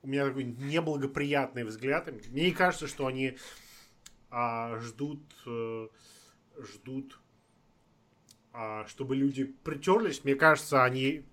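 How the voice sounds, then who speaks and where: A man in casual chat, animated and thinking aloud, often restarting his words. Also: medium pitch, unhurried.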